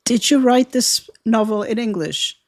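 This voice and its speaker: A woman's voice: fairly high pitch, lively intonation, measured pace. A woman hosting a podcast interview, asking curious, open questions of her guest. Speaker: podcast host.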